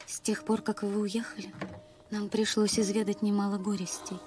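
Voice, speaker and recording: Prominent film music plays behind an actress as a genteel young woman. She is lonely and devoted, speaking at a middling pitch in a formal, earnest manner suited to period drama. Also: flat delivery, moderate pace, natural voice. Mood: sorrowful pleading. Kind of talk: dramatic film dub, dialogue and letter narration.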